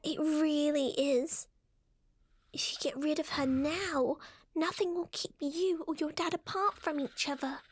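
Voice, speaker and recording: A woman's voice: high-pitched, fairly even intonation, a little slow. A woman voicing a sinister living doll, a sweetly coaxing, murderous little girl in a horror radio drama. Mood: urging, secretive. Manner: sing-song, coaxing, giggling.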